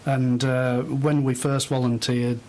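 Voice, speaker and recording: A middle-aged man giving thoughtful, halting answers as a guest in an unscripted radio interview. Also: mid-range voice, flat delivery, darkish tone. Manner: hesitant, thoughtful, halting phrases. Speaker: interview guest, volunteer host.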